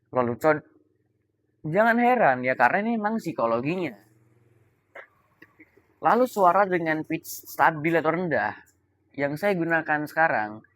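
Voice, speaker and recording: A young adult man giving an informal coaching talk, deliberate and emphatic, pausing between key words. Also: a little high, fairly bright tone, no echo. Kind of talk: informal explainer talk to camera.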